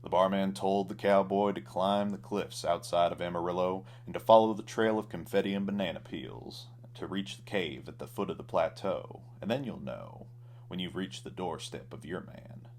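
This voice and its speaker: A man with a low voice reads a scripted comic Western tale. He delivers it as a storyteller, dramatically and in rhythm. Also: normal speed, darkish tone, a little hiss or hum. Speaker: storyteller, author reading own ballad.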